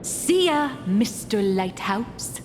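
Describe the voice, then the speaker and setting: A woman's voice: mid-range voice, sing-song, slow pace, background noise. A woman voicing a dry, wry young comic artist in a video game, matter-of-fact in manner. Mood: polite, casual. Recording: long hall reverb, music behind.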